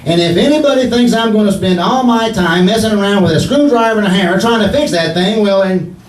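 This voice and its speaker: Male actor as blustering comic husband, affectedly formal, highish voice, old-time radio style, noisy hall. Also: fairly quick, lightly acted. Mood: exasperated. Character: blustering husband putting on pompous, mangled fancy English. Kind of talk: 1940s radio comedy acting before a live audience. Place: live theatre stage. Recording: echoey stage, distant mic, noisy.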